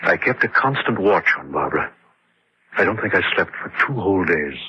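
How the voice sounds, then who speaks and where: An actor playing a worried, skeptical husband with a rough, husky voice in an old radio horror drama. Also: male voice, sits low, lively intonation, fairly quick, dry recording, dull audio, exaggerated voice. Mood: sober recounting. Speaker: actor and first-person narrator in a radio drama.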